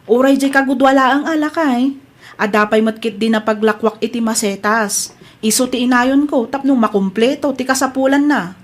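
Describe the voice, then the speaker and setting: A woman's voice: a little high, normal speed, close to an everyday voice. A woman acting a dutiful, hardworking married elder sister in an Ilocano audio drama. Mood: earnest.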